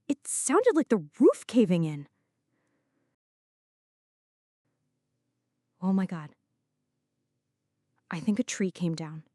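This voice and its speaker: A woman playing a flirty, anxious female friend in an intimate ASMR roleplay, speaking softly and dramatically, close to the listener. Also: mid-range voice, no echo, lightly acted. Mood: alarmed. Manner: soft, close, dramatic pleading and confiding.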